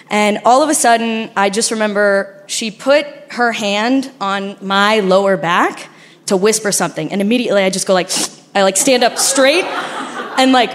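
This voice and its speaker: A young woman from Argentina with an Argentinian accent, telling a frank, laughing story on a live stage. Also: mid-range voice. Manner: animated, laughing, frank and profane. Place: stage before a live audience.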